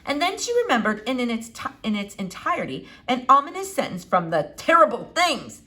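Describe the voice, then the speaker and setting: A woman reading a children's novel aloud in an expressive, animated way with dramatic pauses. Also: on the high side. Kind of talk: expressive storybook read-aloud.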